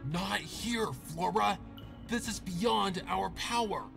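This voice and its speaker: A man voicing a gruff stallion ally, pitched well above his own voice and shouting urgently. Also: slow, over music, heavily acted.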